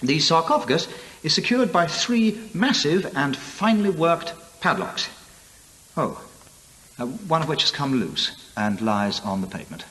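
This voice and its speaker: An actor playing a curious, bookish English gentleman antiquarian in a radio drama, musing hesitantly in old-fashioned literary language. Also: on the high side, big pitch swings, unhurried, long reverb tail. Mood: fascinated musing.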